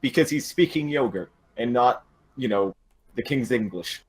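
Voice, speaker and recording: A man's voice: mid-range voice, lively intonation. An American man playing in an online tabletop game, joking and bantering with the group. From United States. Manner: joking, quick banter, drawling in-character intro. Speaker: player. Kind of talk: casual tabletop game chat.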